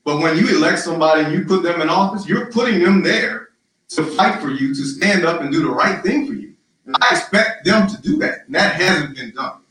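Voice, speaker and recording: A man running for city council, answering interview questions in a loud, emphatic, rallying way with lots of repetition. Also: on the high side, lively intonation, a little fast, noisy background. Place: live-streamed social media forum. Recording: very echoey, distant mic.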